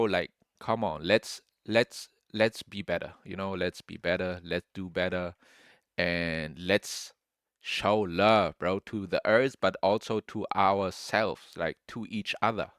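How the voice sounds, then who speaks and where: A man's voice: low voice, a bit bright, clear, resonant tone, no room sound, clean studio sound. A young man from Germany talking casually to camera in a loose, rambling way, peppering his talk with bro. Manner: slangy, loose, says bro often. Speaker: vlogger.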